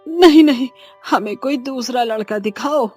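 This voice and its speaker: A woman doing a worried husky mother. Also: high-pitched, unhurried, darkish tone, faint music behind. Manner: anxious, fretting. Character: worried poor mother, middle-aged woman.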